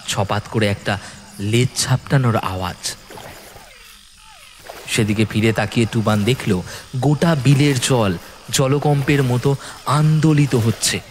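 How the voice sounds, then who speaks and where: A man's voice: medium pitch, a little hiss or hum. A man narrating a scripted horror audio story in a suspenseful, theatrical way.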